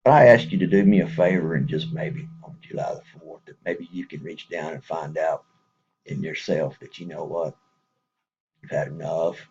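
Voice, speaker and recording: A man's voice: rumbling low, fairly even intonation, moderate pace, darkish tone, highs cut off, a little hiss or hum. A man with a Texas accent speaking casually to viewers in a folksy, rambling way, chuckling between thoughts.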